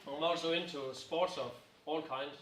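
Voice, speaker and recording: A man of fifty, a business consultant introducing himself in a jokey, easygoing way. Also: on the high side. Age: 50s. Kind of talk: casual self-introduction. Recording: echoey room, distant mic, crowd noise.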